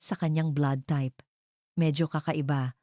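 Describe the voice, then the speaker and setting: Hospital doctor, polite and explanatory, audio drama; soft dark tone, dry recording female reader. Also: low-pitched, steady tone, measured pace, dry recording. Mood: hesitant. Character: polite reassuring hospital doctor, later hesitant.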